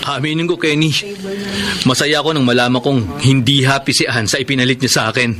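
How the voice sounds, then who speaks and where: A man acting a heartbroken, lovelorn man in a Tagalog radio romance drama, speaking earnestly and sentimentally with some halting. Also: mid-range voice, steady tone, crowd noise, close to an everyday voice. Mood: hopeful. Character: heartbroken lovelorn man pining for his former girlfriend.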